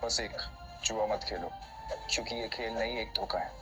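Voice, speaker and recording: An actor playing a grim, vengeful son in an audio drama. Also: male voice, fairly low pitch, fairly even intonation, moderate pace, natural voice. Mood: grim, determined. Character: grim, vengeful son sworn to avenge father. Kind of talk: Hindi audio drama acting. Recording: loud music over the voice.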